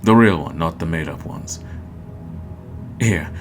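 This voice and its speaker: A man's voice: deep voice, a little slow. A man playing a flirty, playful god of love who poses as a matchmaker, in a teasing, intimate roleplay ASMR style. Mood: coy, flirty. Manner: teasing, coaxing, conversational. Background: music.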